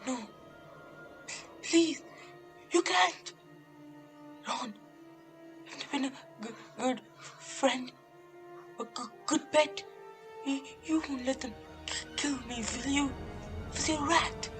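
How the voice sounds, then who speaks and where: A woman's voice: high-pitched, faint music behind. A woman voicing a small, cowardly man, a fawning, pleading traitor who stammers, in an audio drama. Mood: pleading, desperate. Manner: stammering, halting.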